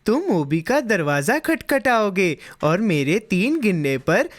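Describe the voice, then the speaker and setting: A woman voicing a mischievous, boastful, excitable young boy in a children's cartoon, with a playful, expressive delivery. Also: mid-range voice, takes it slowly, dry recording, studio quality. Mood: scheming, confident. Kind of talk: Hindi children's cartoon dubbing.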